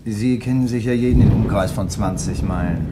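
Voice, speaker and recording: An actor playing an inquisitive, persistent crime writer in a radio drama, brisk and questioning. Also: male voice, on the low side, very noisy, close to an everyday voice. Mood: probing small talk. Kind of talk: German radio drama acting, conversational.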